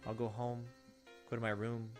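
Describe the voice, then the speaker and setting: A man's voice: medium pitch, flat delivery, moderate pace, darkish tone, faint music behind. A man hosting a gaming video, reading story text aloud expressively and dropping in casual asides.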